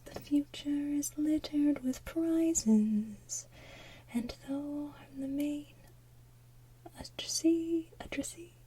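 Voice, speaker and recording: ASMR host, female: high, mellow voice, hushed and breathy, soft-sung and whispered, playful.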